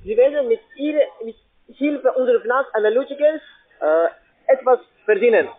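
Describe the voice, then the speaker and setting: A man with a foreign accent, not a native German speaker, making a halting, repetitive, insistent telephone sales pitch. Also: expressive. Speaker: call-centre sales caller. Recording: muffled, narrow phone line.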